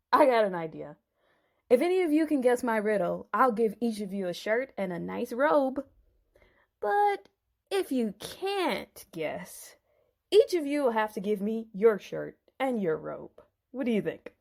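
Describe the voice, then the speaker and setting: A woman as cocky young hero, hot-headed, slangy, swooping pitch, unhurried, Bible audio drama. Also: big pitch swings, vocal fry, darkish tone, heavily acted. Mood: sly, playful. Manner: swaggering, exclamatory, slangy. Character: brash, cocky young strongman Samson, boastful and hot-headed.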